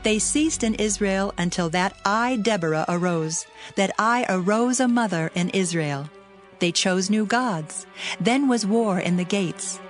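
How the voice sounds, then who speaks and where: Commanding prophetess played by a woman, solemn declamation for scripture drama, medium pitch, light music bed. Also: unhurried, close to an everyday voice. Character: Deborah, commanding prophetess and mother of Israel. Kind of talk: dramatized Bible reading.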